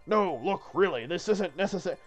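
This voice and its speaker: A man voicing an earnest, flustered young knight who protests politely and haltingly in a comic read-through. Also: high-pitched, lively intonation, soft background music. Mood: flustered, reluctant.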